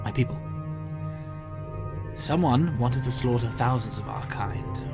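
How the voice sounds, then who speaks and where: A man acting an excitable but war-haunted time traveller in an audio drama, kind and awkward, speaking in halting, earnest bursts. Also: mid-range voice, gravelly, loud music behind the voice. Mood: grave, regretful.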